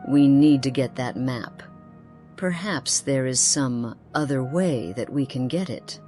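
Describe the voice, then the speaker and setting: A woman's voice: very deep voice, takes it slowly, dark tone, soft background music. A woman playing an earnest quest companion in a video game, speaking in a formal, purposeful way. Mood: earnest, anticipating. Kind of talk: video game character dialogue.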